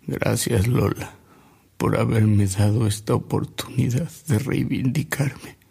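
A humble older gentleman in a radio drama, savouring fond anecdotes. His voice is very gravelly and husky, and he takes his time, with soft music underneath. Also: medium pitch, slow, heavily acted. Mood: humble, reflective. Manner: hesitant, savouring, acts out quoted dialogue.

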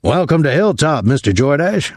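Man playing a stiff, nervous older colonel, pitched up. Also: big pitch swings, no echo, studio quality. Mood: formal, embarrassed. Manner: formal, halting, embarrassed.

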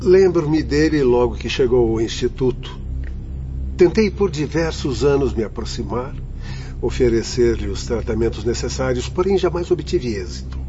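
A grave, compassionate instructor played by a man in a radio drama. He speaks earnestly and formally at a medium pitch. The audio is a bit dull, with clear music underneath. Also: lively intonation, normal speed, mellow, natural voice. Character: compassionate, grave senior instructor in a spirit institute. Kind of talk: Brazilian radio drama acting.

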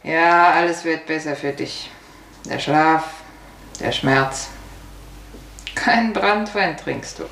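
Tired boozy old aunt, gravelly and deep for a woman, dry resigned wit, old radio drama with some hiss. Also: animated, fairly bright tone, full character voice. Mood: bitter resignation. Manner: resigned, sardonic, measured. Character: weary, hard-drinking older Russian serf aunt, wry and consoling. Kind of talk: 1950s German radio play acting. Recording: echoey, hissy 1950s radio recording.

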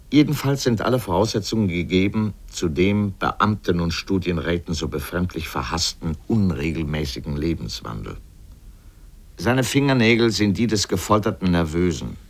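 A low-pitched male voice narrates a literary radio essay. He comments in an emphatic, pointed, rhetorical way. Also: breathy edge.

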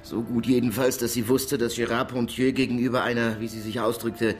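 Measured male detective played by an actor. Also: mid-range voice, flat delivery, close to an everyday voice. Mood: dry, wry. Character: dry, dutiful male police detective, the chief's deputy. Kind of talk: German radio crime drama acting.

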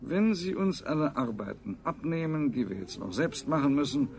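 A man's voice: a little high, sing-song, normal speed. An actor playing a haughty technocrat king in a radio play, cold and pompous. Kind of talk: 1970s German radio play acting.

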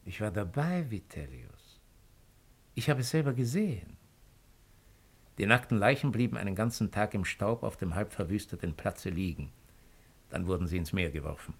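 An actor playing a cold, calculating Roman imperial agent in a radio drama, speaking in a measured, insinuating way. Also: male voice, fairly low pitch, lively intonation, husky. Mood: grave, factual. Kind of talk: 1950s German radio drama acting.

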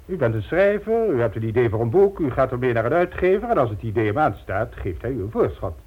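A smug crime syndicate boss posing as a gentleman philanthropist. He is mockingly polite and pompous, with swooping, animated intonation. It is an old radio drama with a slightly dull, muffled sound. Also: medium pitch, fast, husky. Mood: smooth explaining. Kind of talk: radio play acting.

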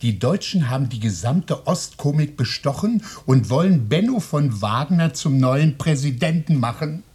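Radio play side role: a raspy, conspiratorial buddy with hot gossip. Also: male voice, on the high side, expressive, moderate pace, few pauses, darkish tone. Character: gossipy male friend bringing news, adult. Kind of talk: 1980s radio play acting.

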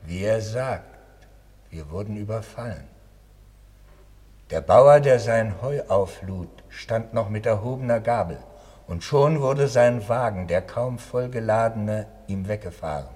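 An actor playing a defeated captive king, giving solemn, measured witness in a German radio play. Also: lowish voice, lively intonation, a little mellow. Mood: grave, bitter. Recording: long reverberant hall.

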